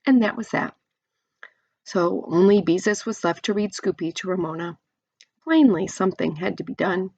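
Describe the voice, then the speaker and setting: A woman's voice: mid-range voice, gravelly, darkish tone, breathy edge, no room sound, highs cut off. A woman reading a children's storybook aloud expressively, like a teacher to young listeners.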